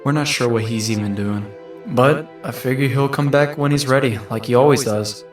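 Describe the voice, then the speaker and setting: A man's voice: mid-range voice, normal speed, close to an everyday voice. A man playing a thoughtful, lonely teenage mutant turtle in an earnest, introspective monologue for a comic dub. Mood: wistful. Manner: earnest, reflective. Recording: roomy, distant mic under loud music.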